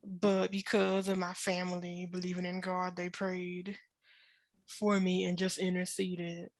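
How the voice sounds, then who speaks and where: A woman in her thirties with a slightly low voice, recorded close and dry. She gives personal testimony in conversation, with an emphatic, faith-filled delivery. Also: completely flat delivery, measured pace, dry recording. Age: 30s. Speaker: author, interview guest.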